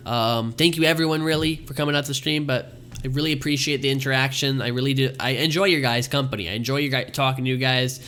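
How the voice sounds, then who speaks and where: Young guy streaming, going hoarse, bright kinda high voice, rambles and thanks everyone. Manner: rambling, thanks viewers often. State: losing his voice. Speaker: livestream host. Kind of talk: casual live stream chat.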